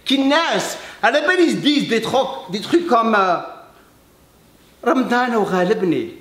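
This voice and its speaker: A man, a French speaker, talking earnestly to camera as a fitness coach, emphatic and halting, slipping between French and Arabic. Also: very high voice, expressive, fairly bright tone. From Algeria. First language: English. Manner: emphatic, halting non-native French with repeats. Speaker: fitness coach and video host. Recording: echoey room.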